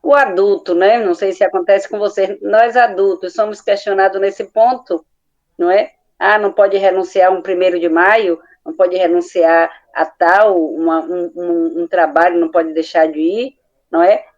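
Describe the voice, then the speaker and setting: A mature woman with a Brazilian accent, commenting informally in a group discussion, halting as she searches for words, on lo-fi audio. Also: lowish voice, fairly even intonation, darkish tone. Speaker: study group participant.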